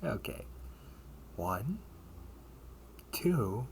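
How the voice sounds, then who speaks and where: A man playing a protective older brother and taunting captor in an amateur audio roleplay, sardonic and menacing, with casual, halting delivery. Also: medium pitch, big pitch swings, full character voice. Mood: threatening. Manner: casual, halting, mocking, breathy asides. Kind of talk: amateur audio roleplay acting, one-sided dialogue. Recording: noisy amateur home recording.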